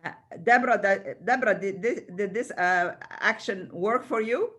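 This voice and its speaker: A woman's voice: mid-range voice, normal speed, fairly bright tone, husky. An older woman from Egypt speaking spontaneously as a round table panellist, often repeating words and restarting. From Cairo, Egypt. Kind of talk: panel discussion, spontaneous speech.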